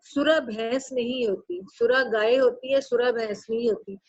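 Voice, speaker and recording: A woman teacher with a Pakistani Urdu accent reads a text to her class and explains each line in simpler words, jumping to a high pitch when she stresses a point. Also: fairly even intonation, normal speed, breathy edge, dry recording. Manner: reads a line aloud, then restates it in plainer words. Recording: dull, muffled sound.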